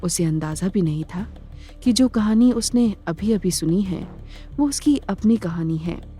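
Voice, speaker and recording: A woman narrator with a lowish, mellow voice tells a dramatic audio story. Her delivery is measured and scripted, with soft music underneath.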